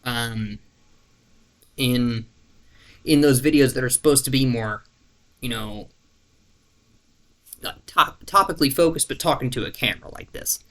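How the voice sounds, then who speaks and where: A man's voice: medium pitch, unhurried, pauses often, fairly bright tone. A man talking casually to camera in a rambling way, searching for words with lots of fillers, restarts and the odd swear. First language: not French. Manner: laid-back, self-deprecating, swears casually. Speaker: YouTuber.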